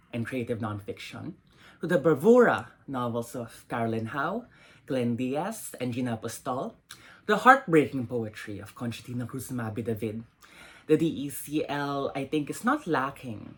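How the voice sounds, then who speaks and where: A Filipino woman giving a formal scripted introduction as a presenter, in measured phrases. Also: a bass voice, fairly bright tone, slightly rough. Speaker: presenter, university instructor.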